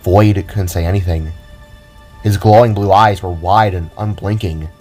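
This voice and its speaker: A man's voice: low voice, steady tone, a little slow, darkish tone, husky, soft background music. A man narrating a scripted audio drama in a dramatic storytelling style.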